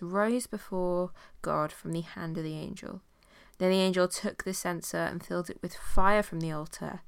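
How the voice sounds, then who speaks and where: A woman with a cold teaches an informal Bible study, her lowish voice noticeably nasal. She chats with plenty of fillers and reads long passages aloud. The recording is dry and close, with no room sound. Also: clear, resonant tone, no room sound. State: has a cold.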